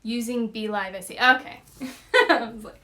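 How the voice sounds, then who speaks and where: A young woman hosting a casual chat, chatty and quick to laugh as she tries out accents. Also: fairly high pitch, steady tone, pushed and bright. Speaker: host.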